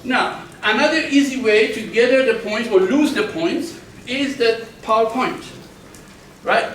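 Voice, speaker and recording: A male university lecturer giving a classroom lecture, speaking slowly and deliberately with careful enunciation and frequent restarts. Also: extremely high pitch, a bit bright. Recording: echoey classroom from far off, faint background noise.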